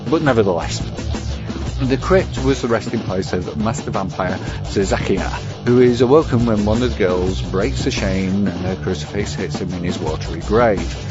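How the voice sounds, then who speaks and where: A man with a low voice reads scripted commentary in a wry, bookish way. Music plays clearly behind him, and the sound is slightly dull. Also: normal speed. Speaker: guest segment presenter. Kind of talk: scripted commentary on vampire lore.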